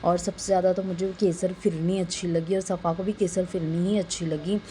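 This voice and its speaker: A young adult woman with a sore, slightly hoarse throat, chattily narrating a casual family vlog voice-over and mixing in English words. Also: fairly low pitch, flat delivery, a little fast, dark tone, slight background noise. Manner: conversational, mixes in English words. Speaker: vlogger narrating a family outing.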